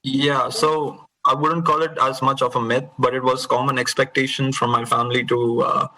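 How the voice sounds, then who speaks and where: A young man from India on a panel, measured and a little hesitant. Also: medium pitch, flat delivery, sharp tone, poor audio. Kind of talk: casual panel talk.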